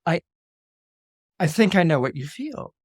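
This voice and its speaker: A storyteller playing an imperious, wrathful goddess who pronounces things slowly and coldly in a commanding way. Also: medium pitch, sing-song, no echo, clean studio sound. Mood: coolly curious.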